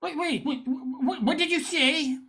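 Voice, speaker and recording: A woman's voice: high-pitched, fairly bright tone. A woman playing a befuddled husband in a radio play, stammering and drawing out words. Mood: confused. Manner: halting, stammering, drawn-out words. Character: befuddled, hesitant husband losing his brain cells.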